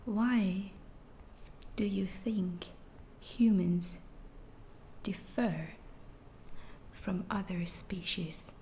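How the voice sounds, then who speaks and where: A woman voicing a calm, reassuring alien abductor in an ASMR roleplay, speaking in a soft, breathy whisper with halting phrases. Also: mid-range voice, slight background noise. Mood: calm, questioning.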